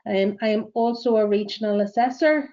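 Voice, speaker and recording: A woman with a Northern Irish accent presenting a webinar as a greenhouse gas verifier, speaking in a measured way with frequent ums and uhs. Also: medium pitch, warm tone, highs cut off. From Northern Ireland.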